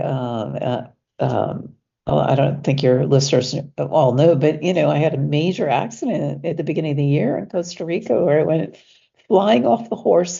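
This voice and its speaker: A woman coach talking casually as an interview guest, sounding stuffy from allergies, with laughs and fillers as she slows down to stress words. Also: low-pitched, gravelly, warm tone, slightly rough, highs cut off.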